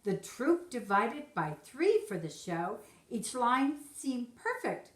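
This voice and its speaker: A woman narrates a rhyming picture book for children. Her voice sits a little high and she takes her time, shaping the verse expressively. The room adds a clear echo. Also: very animated, slow pace. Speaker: teacher reading aloud to children. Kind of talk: expressive storybook narration in rhyme. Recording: roomy echo.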